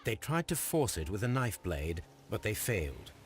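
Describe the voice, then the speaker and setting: A low-voiced British Victorian detective, shrewd and composed. He speaks formally and deliberately, with lively rises and falls, as in a mystery game. Night insects sound behind him. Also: slight background noise. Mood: composed, deductive. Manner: measured, assured, formal. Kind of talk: scripted video game acting.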